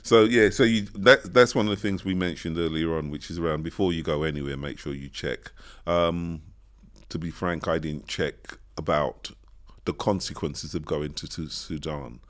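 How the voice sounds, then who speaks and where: British guy, really low voice, chatty podcast host acting out stories. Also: moderate pace. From Britain. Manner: animated storytelling, acts out quoted lines. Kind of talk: casual chat. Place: studio.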